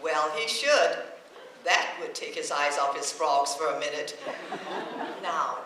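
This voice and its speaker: In a very echoey old radio comedy recording, a scatterbrained older landlady with a bright, animated voice. She is kindly and chatty, dithering along and easily sidetracked. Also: low-pitched, slow, slight background noise, heavily acted. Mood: chatty, admiring. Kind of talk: vintage radio comedy acting before a laughing audience.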